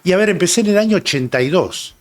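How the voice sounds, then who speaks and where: A man's voice: high-pitched, lively intonation, quick pace. Argentine man in his sixties, rambling. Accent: Rioplatense Spanish. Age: 60s. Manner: rambling, reminiscing, many "eh" fillers. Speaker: rugby journalist guest. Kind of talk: relaxed anecdotal interview chat.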